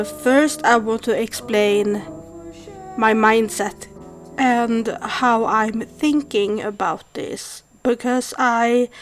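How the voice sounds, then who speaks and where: A woman in her thirties from Sweden with a Swedish accent, speaking thoughtfully to camera with frequent hesitations. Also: fairly high pitch. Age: 30s. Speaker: vlogger. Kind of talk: casual monologue to camera.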